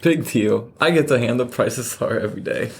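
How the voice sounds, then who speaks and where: A man acting a gossipy housemate, vocal fry, easygoing, casual, matter-of-fact, naturalistic radio play. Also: medium pitch, brisk. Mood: casual, dry.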